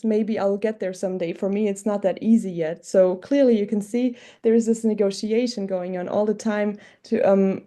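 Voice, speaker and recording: Very dark-voiced German woman, hesitantly reflective. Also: medium pitch. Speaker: multilingual scholar presenting at a book talk. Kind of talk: informal academic presentation, personal reflection.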